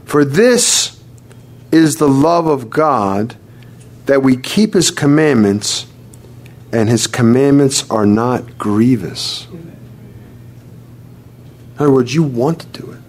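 A man preaching to a congregation and praying aloud fervently, calling on the Lord again and again and reading scripture slowly. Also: mid-range voice, expressive, darkish tone. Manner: fervent, repeats "Lord" often; reads scripture slowly and deliberately. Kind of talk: sermon and spoken prayer. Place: church service with congregation responding.

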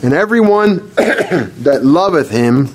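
A man preaching to a congregation and praying aloud fervently, calling on the Lord again and again and reading scripture slowly. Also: fairly high pitch, very animated, a little hiss or hum. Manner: fervent, repeats "Lord" often; reads scripture slowly and deliberately. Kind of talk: sermon and spoken prayer. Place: church service with congregation responding.

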